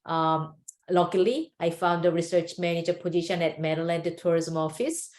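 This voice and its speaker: Middle-aged woman from South Korea, careful answers full of pauses, roomy echo. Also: fairly low pitch, steady tone, darkish tone. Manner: thoughtful, with frequent "uh" pauses. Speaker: guest professor. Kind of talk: interview answers.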